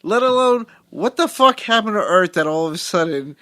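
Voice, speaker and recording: Lively high-voiced man, blunt and incredulous. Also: normal speed, dry recording, clean studio sound. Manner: blunt, incredulous, swears freely. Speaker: podcast co-host. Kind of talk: casual movie-review chat.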